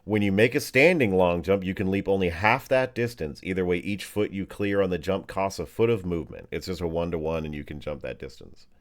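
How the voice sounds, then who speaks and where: A man's voice: sits low, a little fast, few pauses. Informal man running a tabletop game. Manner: informal, animated, fumbles words, uses makeshift sound effects. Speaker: game master. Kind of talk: casual tabletop game narration and rules talk.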